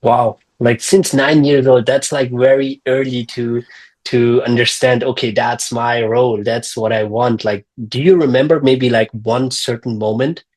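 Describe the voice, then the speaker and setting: A man's voice: medium pitch, steady tone, a little slow, a little mellow. A man hosting a podcast, asking curious, casual questions with frequent fillers. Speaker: podcast host. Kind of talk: interview questions.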